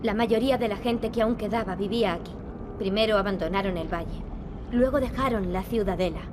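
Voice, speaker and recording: A woman's voice: mid-range voice, brisk, noisy background, natural voice. A woman with a Castilian Spanish accent playing an earnest, determined young princess in a video game. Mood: solemn, earnest. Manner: earnest, serious. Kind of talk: video game acting, European Spanish dub.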